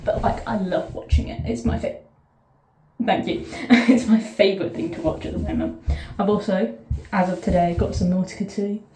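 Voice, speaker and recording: A woman's voice: mid-range voice, fairly even intonation, normal speed, warm tone, slight background noise. A woman with a southern English accent, chatting casually to camera from a bathtub, rambling through asides and cutting herself off mid-thought. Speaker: vlogger. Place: sitting in a bath, bathroom. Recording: echoey bathroom.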